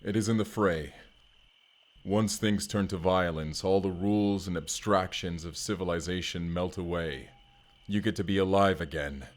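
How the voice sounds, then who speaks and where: A man's voice: deep voice, moderate pace, close to an everyday voice. A man playing an earnest wasteland barbarian turned corporate fighter in an audio roleplay, speaking in stilted, literal, short sentences. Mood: brooding, wistful. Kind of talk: immersive first-person audio roleplay acting.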